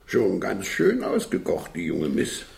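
An actor playing a calm, wry, knowing man in a radio play, with a gravelly voice. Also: low-pitched, measured pace, full character voice. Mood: wry, amused. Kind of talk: 1970s German radio play dialogue.

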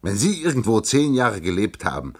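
Proud alien researcher passing as human, radio play, deepish voice that swings up and down a lot, kinda pompous. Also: male voice, big pitch swings, breathy edge, heavily acted. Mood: wry, confiding. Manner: earnest, pompous, deliberate with emphatic phrases. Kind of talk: German science-fiction radio play acting.

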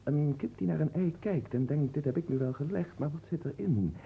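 An actor playing a pompous, absent-minded marquis who muses aloud theatrically in a radio play. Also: male voice, on the high side, a little fast, creaky, warm tone, slightly rough, heavily acted, rough recording. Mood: fretful musing.

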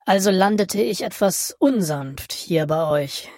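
A woman playing a stranded Martian alien in a satirical radio play, measured, unbothered and politely haughty. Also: lowish voice, lively intonation, darkish tone, no room sound, studio quality. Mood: calm, understated.

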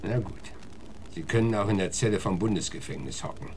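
Actor as tough, low-voiced Wild West marshal. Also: male voice, fairly quick, a little hiss or hum, natural voice. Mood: stern. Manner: blunt, insistent interrogator. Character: tough, threatening male marshal hunting a killer in the Wild West. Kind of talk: German radio play acting.

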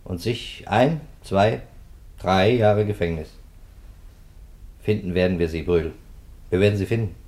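Radio drama part: determined detective, fairly low voice, clipped, sardonic, rhetorical. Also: male voice, unhurried, long pauses, a little mellow. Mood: determined reproach. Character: hard-nosed male police investigator, sardonic and determined. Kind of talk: 1950s German radio play acting.

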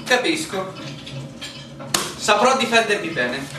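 An actor playing a stubborn land surveyor in a radio drama, politely challenging officials with irony. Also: male voice, high-pitched, big pitch swings, slow, heavily acted. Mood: defiant, probing. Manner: polite but challenging, ironic. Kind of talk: theatrical radio drama dialogue. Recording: roomy, distant, faint background noise.

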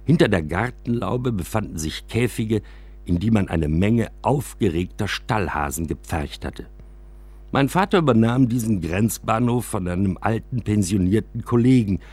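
Husky, highly expressive male storyteller. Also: moderate pace. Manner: expressive, acts out quoted dialogue. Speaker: narrator and reader of a memoir. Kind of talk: scripted literary narration, first-person storytelling.

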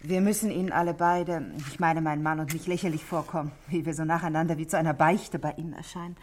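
A woman's voice: sits low, fairly even intonation, fast talker, a little mellow, a little hiss or hum, natural voice. An actress in a 1960s German radio play, playing a composed, middle-aged married woman who speaks politely and articulately, confiding her worries.

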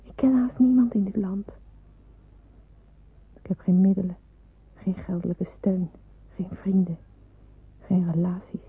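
An actress playing an anguished, dutiful and fearful young nurse in a Dutch radio play, hesitant and brooding, with a breathy, husky voice. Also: female voice, medium pitch, measured pace, pauses often. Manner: hesitant, brooding, self-questioning. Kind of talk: Dutch radio play acting, inner monologue. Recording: muffled tinny old radio recording.

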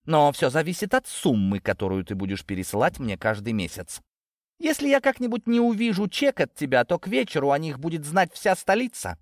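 A man's voice: on the low side, expressive, a little fast, hardly any pauses, no room sound, studio quality, close to an everyday voice. A man voicing a defiant young adult daughter, hurt and bitter, with an emotional, biting delivery in an audiobook. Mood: sarcastic, threatening.

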